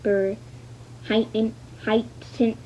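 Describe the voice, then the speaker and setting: A woman's voice: on the high side, level tone, very slow, dark tone. A woman reading a novel aloud hesitantly, stumbling over words, repeating fragments and speaking the punctuation. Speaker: learner reading a book aloud. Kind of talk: halting read-aloud of a novel. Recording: dull lo-fi sound with faint noise.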